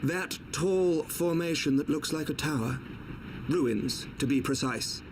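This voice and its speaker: A man playing a composed, enigmatic fantasy guide in a video game, speaking in a formal, measured way. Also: a little high, background noise. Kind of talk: video game cutscene acting.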